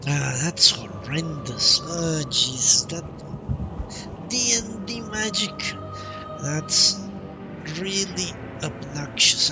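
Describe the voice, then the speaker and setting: Man, game streamer, unhurried pace, casual thinking aloud, muttered asides and swearing, loud game music behind. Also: on the high side. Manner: muttering to himself, freely swearing. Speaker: live streamer playing a video game. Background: video game music.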